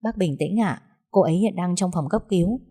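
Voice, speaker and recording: A woman's voice: on the low side, fairly quick, husky. Woman voicing a polite young hospital caller. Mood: formal. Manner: polite, formal, calm.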